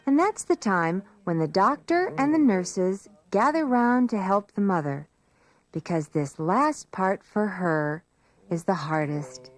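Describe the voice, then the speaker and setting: Female narrator for children's educational film: simple explaining, unhurried delivery, clear music bed underneath. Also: mid-range voice, expressive. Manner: simple, explanatory storytelling addressed to young children.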